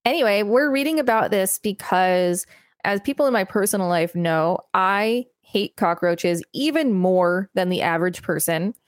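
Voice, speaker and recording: Woman co-hosting a podcast, middling pitch. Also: animated, moderate pace, dry recording, studio quality. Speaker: podcast co-host. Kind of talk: article reading with casual commentary.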